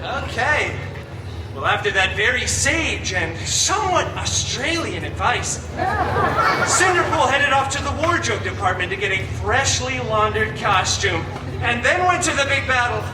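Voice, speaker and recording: A man's voice: very high voice, animated, a little slow, distant mic, background noise. A man playing a cheeky, vain antihero storyteller, theatrical and sarcastic, chatting to a live audience with comic asides. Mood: wry, narrating. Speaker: theme park character actor, storyteller. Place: live theme park show, far from mic. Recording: echoey park show, loud music and crowd.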